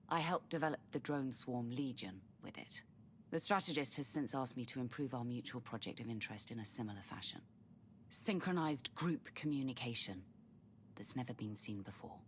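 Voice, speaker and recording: An actress playing a weary, earnest middle-aged scientist in a video game. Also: female voice, very deep voice, brisk, a little hiss or hum. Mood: weary, explaining. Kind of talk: video game cutscene acting.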